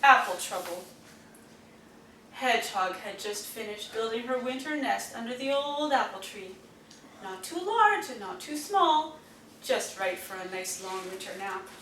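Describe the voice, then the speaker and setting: A woman reading children's stories aloud, with an expressive, deliberate storytelling manner for young listeners. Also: sits high. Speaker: storybook reader. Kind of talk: children's read-aloud narration. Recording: roomy, distant mic, faint noise.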